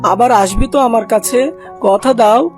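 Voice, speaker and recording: A young, innocent duckling from a cartoon fairy tale, played by an actor. The voice is mid-pitched, plaintive and earnest, that of a lonely outcast who still hopes. Music plays clearly behind it. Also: steady tone, moderate pace, lightly acted. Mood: delighted.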